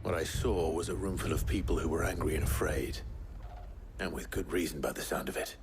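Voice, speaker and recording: Game hero role: outlaw leader, very deep male voice, calm, brooding, measured and understated. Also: background noise. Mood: grave. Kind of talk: dramatic game cutscene acting.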